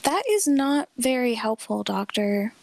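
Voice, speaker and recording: An actress playing a dry, skeptical radio co-host in a radio play, delivering wry, deadpan remarks. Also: female voice, fairly high pitch, unhurried, creaky, no room sound, full character voice.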